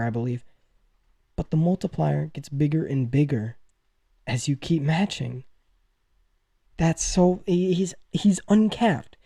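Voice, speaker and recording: A man giving casual gaming commentary to viewers in an excitable, gushing manner, as the host of a news video. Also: fairly high pitch, no room sound, clean studio sound.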